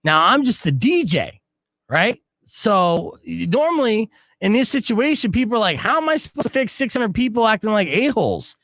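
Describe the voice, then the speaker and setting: Male radio DJ, pitch swings wide, dry studio sound, animated host type. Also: moderate pace, no room sound. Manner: animated storyteller, jumps loud and high when excited. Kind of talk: casual radio show banter. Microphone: studio microphone.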